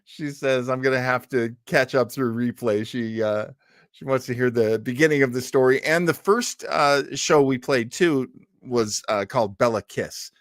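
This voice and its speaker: A man's voice: medium pitch, normal speed, dry recording, clean studio sound. An older man hosting an old time radio show, chatting casually with live-stream listeners in a rambling, enthusiastic way.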